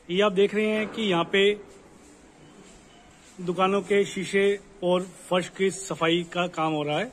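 A man giving a slow, explanatory walkthrough tour of an unfinished commercial building, pointing out each floor. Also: high voice. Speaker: property dealer giving a site tour. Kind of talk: walkthrough property tour narration. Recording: echoey, roomy building with ambient noise.

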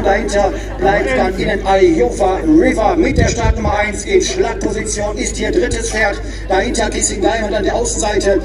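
A man giving live horse race commentary over an outdoor public-address system, heard from a distance. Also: high-pitched. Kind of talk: live harness racing commentary. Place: outdoors at a grass racetrack. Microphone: public-address speakers picked up from afar. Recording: distant echoing trackside PA, loud crowd.

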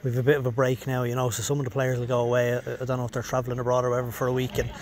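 Quick, even-toned young man. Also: mid-range voice. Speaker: club interviewer. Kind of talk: post-match sports interview questions. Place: outdoors on a football pitch. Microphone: handheld recorder at arm's length.

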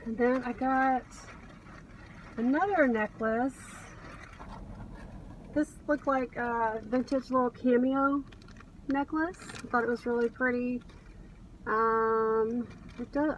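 High-voiced American woman chatting in a car. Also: measured pace, pauses often, lo-fi sound. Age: middle-aged. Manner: gushing, enthusiastic. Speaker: reseller vlogger. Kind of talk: casual chat to camera, showing thrift finds. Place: inside a car. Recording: car cabin, distant mic, background noise.

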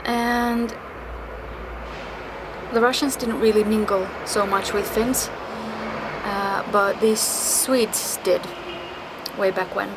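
A woman in her thirties with a Finland-Swedish accent vlogs outdoors on an apartment balcony. She talks straight into a close camera, blunt and rambling, and swears now and then. Steady street noise and passing traffic hum clearly behind her voice. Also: medium pitch, slow pace, pauses often. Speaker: vlogger. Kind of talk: casual talking-head vlog. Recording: noisy outdoor street ambience.

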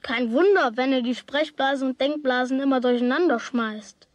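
A stubborn, outspoken young boy, acted by a woman in a children's radio play. The voice is fairly high and blunt, with a grumbling, colloquial way of talking. It is recorded close and dry. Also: moderate pace, dry recording, lightly acted. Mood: pondering, matter-of-fact.

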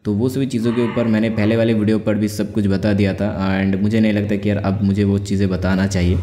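A man's voice: fairly low pitch, level tone, fairly quick, mellow. A young man giving a casual, conversational tech tutorial, explaining step by step. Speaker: tech video host. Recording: echoey room with faint music. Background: faint music.

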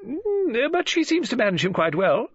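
A man voicing an excitable, scatterbrained middle-aged woman novelist in an audiobook, gushing and rambling in a flustered way. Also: extremely high pitch, moderate pace, husky, dry recording, highs cut off, voice pushed up, full character voice. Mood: chatty, gushing. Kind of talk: audiobook character dialogue.

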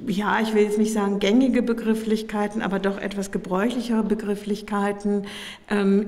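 A middle-aged woman delivers a public academic lecture in a formal, explanatory manner. Her voice sits at a medium pitch and is a little hoarse with a cold. She speaks into a podium microphone in a hall with long reverb. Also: dark tone. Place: indoor lecture room at a podium.

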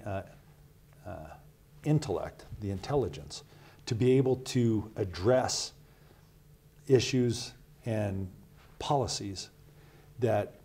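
Wyoming guy in his 50s, low mellow voice, earnest and emphatic, studio interview on a lapel mic. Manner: earnest, measured, emphatic with rhetorical "right?" asides. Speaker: Senate candidate. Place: small TV studio.

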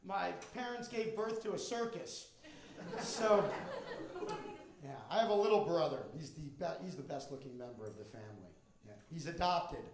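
A man's voice: fairly high pitch, expressive, moderate pace, background noise. A middle-aged American man performing a stand-up comedy routine on a club stage into a handheld microphone. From Miami, Florida, United States. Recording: echoey comedy club with audience. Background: live audience.

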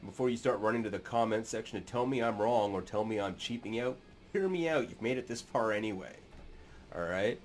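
Man in his thirties, low voice, sarcastic and crude, webcam host, faint room hum. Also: expressive, fairly quick. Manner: sarcastic, crude joking, mock-serious analysis. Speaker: sci-fi narration channel host. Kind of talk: sardonic commentary on camera. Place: small room, seated in gaming chair.

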